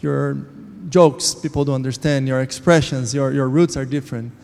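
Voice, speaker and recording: A man's voice: mid-range voice, steady tone, moderate pace, gravelly, a little mellow. Man in an echoing church. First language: Portuguese. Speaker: church member sharing a testimony. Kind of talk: informal church testimony. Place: church hall. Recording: long hall reverb.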